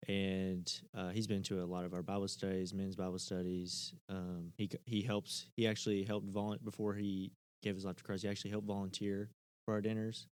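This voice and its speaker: A man's voice: low voice, flat delivery, brisk, few pauses, a little mellow, clean tone, no room sound. A man, a church member, talking earnestly in casual conversation, often stopping and restarting.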